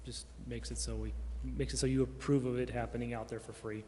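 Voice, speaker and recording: Halting, casual man in a reverberant hall. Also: medium pitch, level tone, dark tone, soft background music. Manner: halting, self-correcting, casual. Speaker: city staff member answering council questions. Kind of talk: informal spoken explanation. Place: large indoor council chamber. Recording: reverberant council chamber, room noise.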